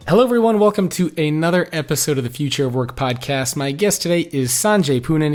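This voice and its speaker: Upbeat man hosting a podcast. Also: fairly high pitch. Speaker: podcast host. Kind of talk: scripted podcast intro and interview.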